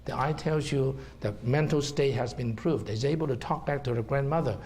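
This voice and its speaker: A man's voice: mid-range voice, brisk, darkish tone, a little hiss or hum. A middle-aged man with a Hong Kong accent, a herbal doctor giving a seminar talk and explaining to the audience, checking in with "okay?". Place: seminar room.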